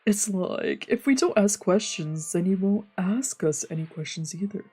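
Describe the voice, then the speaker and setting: A woman voicing a fussy, excitable, sweet-toothed young man in a visual novel, with expressive, scolding and gushing delivery. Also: mid-range voice, moderate pace, slightly rough. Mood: concerned. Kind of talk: live dubbing of a visual novel.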